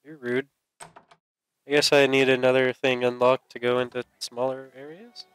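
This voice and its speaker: A man's voice: medium pitch, level tone, measured pace, a bit bright, clear, resonant tone, no room sound. A man hosting a gaming video with casual, jokey commentary, muttering to himself and exclaiming. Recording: game music behind the voice. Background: video game music.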